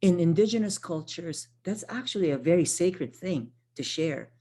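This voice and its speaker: Low-voiced woman presenting a workshop. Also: lively intonation, moderate pace, warm tone, husky. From Philippines. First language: Tagalog. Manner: conversational, frequent tag questions and self-corrections. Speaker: workshop presenter, social worker. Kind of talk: online training presentation.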